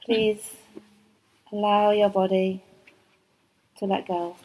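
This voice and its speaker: Woman, meditation guide, mellow soft voice, even tone, slow and calm. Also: mid-range voice, poor audio. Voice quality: soft and soothing. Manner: slow, unhurried, with long pauses. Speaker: yoga nidra teacher. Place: yoga training course.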